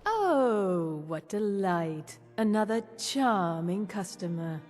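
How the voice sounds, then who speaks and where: A woman playing a haughty, sarcastic clothing shopkeeper in a video game, with disdainful, drawn-out delivery. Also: medium pitch, very animated, darkish tone. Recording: long reverb, faint music behind.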